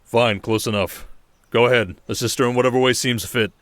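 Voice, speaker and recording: A man playing a composed desert king in an ASMR audio drama, authoritative and measured with dry wit. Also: medium pitch, fairly even intonation, fairly bright tone, dry recording, natural voice. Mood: calm, dry. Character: composed, dry-witted desert king leading his army.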